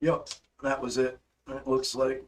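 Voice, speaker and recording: A hoarse man hosting a stock market livestream, casually walking through charts and answering chat, calling viewers bro and man. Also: mid-range voice, completely flat delivery, a little slow. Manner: laid-back, calls viewers bro and man. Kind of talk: casual live chart commentary and chat replies.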